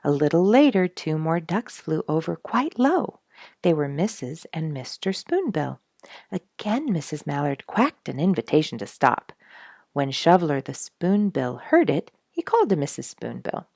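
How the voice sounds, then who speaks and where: A woman reading a children's story aloud as narrator, with expressive storybook phrasing. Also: deep voice, moderate pace, no echo, studio quality.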